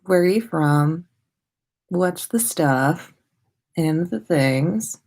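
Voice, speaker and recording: A woman's voice: on the low side, lively intonation, extremely slow, a little mellow. An American woman hosting a casual livestream from her room, rambling informally and playfully, sounding tired. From United States. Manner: informal, rambling, playful with sing-song asides. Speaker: podcast host livestreaming. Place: own room at home.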